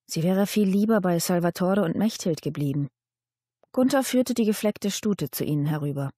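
Low-voiced woman narrator, dry studio sound. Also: expressive, normal speed, mellow, no echo. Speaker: audiobook narrator. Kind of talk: scripted literary narration.

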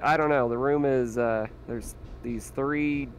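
A man's voice: mid-range voice, steady tone, measured pace, slight background noise. A man playing a tabletop roleplaying game, talking casually with the group.

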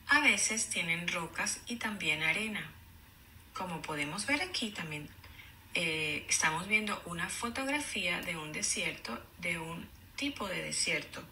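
A woman teacher reading aloud to children in a deliberate, explanatory way, enunciating for young learners. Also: on the low side, pushed and bright, slight background noise. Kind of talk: children's read-aloud lesson.

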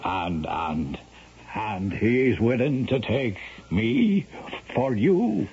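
A blustering Irish gambler and yarn-spinner of old radio drama. His theatrical speech swings widely in pitch and can tumble into stammering. The recording is dull and noisy. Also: very animated, drawn-out words, darkish tone, full character voice. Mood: nervous bargaining. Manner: theatrical, stammering when agitated. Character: roguish Irish storyteller voicing a blustering gambling knight. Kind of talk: 1970s radio drama acting.

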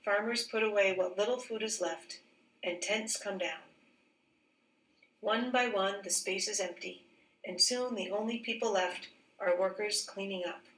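A woman teacher reading a children's picture book aloud in a careful, expressive, clearly phrased way. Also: medium pitch, measured pace, a little hiss or hum. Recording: roomy echo, distant microphone.

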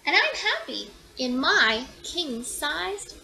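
A young woman reading a children's picture book aloud with expressive storytelling. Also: sits high, slow pace, creaky, rough recording. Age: young adult. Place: small room indoors.

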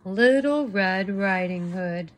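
A woman's voice: mid-range voice, slow, a little hiss or hum. A woman in her thirties narrating a children's storybook expressively, as a teacher reading aloud.

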